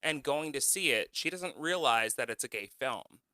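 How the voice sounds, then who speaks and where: A man's voice: medium pitch, expressive, moderate pace, bright, forceful tone, no echo. A man hosting a podcast, earnestly retelling a story and leading into a question. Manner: storytelling, earnest, quotes others. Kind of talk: podcast conversation.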